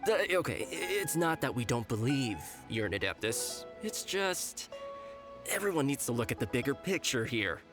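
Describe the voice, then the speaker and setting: Brief: male actor as pragmatic game outlaw, medium pitch, guarded, persuasive, politely threatening. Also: big pitch swings, normal speed, lightly acted. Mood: wary, placating. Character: wary male treasure hoarder, pragmatic, politely menacing. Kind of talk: video game voice acting. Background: game music.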